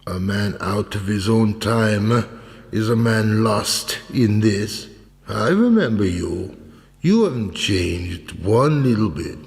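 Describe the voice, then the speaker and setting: A man's voice: on the low side, lively intonation, slow, creaky, long reverb tail, exaggerated voice. An actor playing a bitter, rambling down-and-out ex-serviceman in a radio play. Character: bitter, rambling down-and-out ex-serviceman, crooning old songs.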